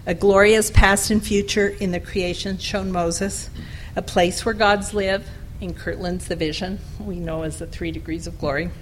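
A woman giving a conference presentation, reading her paper in a measured way at a podium microphone. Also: lowish voice, steady tone, a little hiss or hum, rough recording. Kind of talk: academic presentation and Q&A. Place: lecture room.

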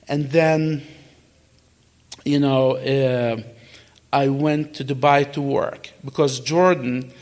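Gravelly middle-aged man telling his story. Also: medium pitch, a little mellow. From Kuwait. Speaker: guest speaker answering audience questions. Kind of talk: conversational personal storytelling.

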